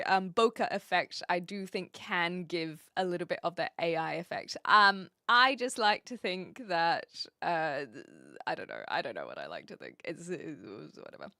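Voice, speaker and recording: Female streamer from New Zealand, bright, chatty tone, dry close mic, rambling casual talk with viewers. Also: medium pitch, no room sound. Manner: chatty and rambling, with frequent restarts and fillers. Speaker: live streamer. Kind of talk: casual live-stream chat, answering viewers.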